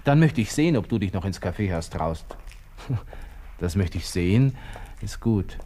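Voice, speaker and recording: Radio drama: agitated young Austrian lieutenant male actor, low register, Viennese accent, colloquial inner monologue, proud and brooding. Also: animated, normal speed, warm tone, slight background noise, lightly acted. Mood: bitter, vengeful. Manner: colloquial, muttering to himself, abrupt self-questioning.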